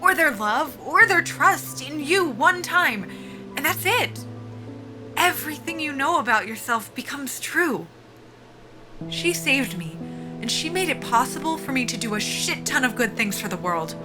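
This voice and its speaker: A high-voiced female soldier in a cinematic audio drama, desperate and earnest. She talks in plain, informal words with a pleading edge, and music plays prominently behind her. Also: expressive, unhurried. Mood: impassioned pleading. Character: desperate, earnest young female military lieutenant at job interview.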